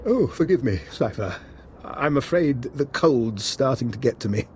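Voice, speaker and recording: A man acting a polite, hesitant doctor in a video game, with a courteous, halting way of talking. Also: mid-range voice, animated, a little mellow, a little hiss or hum, natural voice. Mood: apologetic, polite. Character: polite, hesitant, slippery male scientist doctor.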